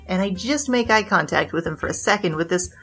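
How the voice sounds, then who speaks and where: A woman's voice: on the low side, lively intonation, fairly quick, a bit bright. A woman playing a brash, boastful young bandit king who tells wisecracking, slangy stories in a 1920s noir comedy audio drama, with music behind. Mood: wry storytelling. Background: background music.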